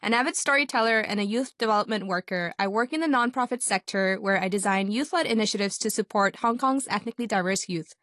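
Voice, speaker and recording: A woman's voice: mid-range voice, quick pace, few pauses, fairly bright tone, no echo, clean studio sound. A woman hosting a radio talk show, upbeat and articulate. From Pakistan. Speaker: host.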